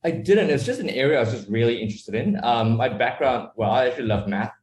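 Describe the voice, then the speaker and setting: A man who was born in Malaysia and raised in Australia, a startup founder answering interview questions in a relaxed, rambling way with many fillers. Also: mid-range voice, fast. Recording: echoey room.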